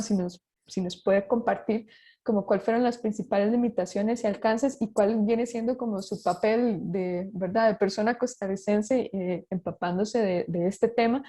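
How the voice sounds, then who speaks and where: A woman's voice: mid-range voice, dark tone. A woman moderating a question session, phrasing her questions in a rambling way while searching for words. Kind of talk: moderating a webinar Q&A.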